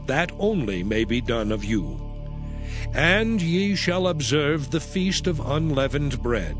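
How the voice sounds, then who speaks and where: A man playing the God of Israel as a solemn, commanding lawgiver in a dramatized scripture reading, with measured, declarative delivery. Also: fairly high pitch. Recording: background music throughout.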